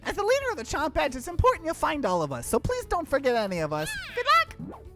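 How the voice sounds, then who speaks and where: Squeaky, bossy little cabbage creature. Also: female voice, wildly swooping pitch, fast. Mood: hesitant, pleading. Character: bossy, perky little female cabbage creature, self-proclaimed leader. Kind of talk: visual novel game character acting. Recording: game music behind the voice.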